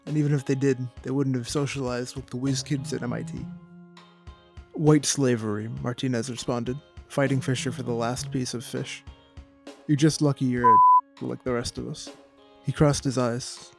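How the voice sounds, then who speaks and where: A man's voice: medium pitch, steady tone, normal speed, vocal fry, faint music behind, close to an everyday voice. A man voicing a male author reading his own lurid prose like a dramatic, earnest audiobook.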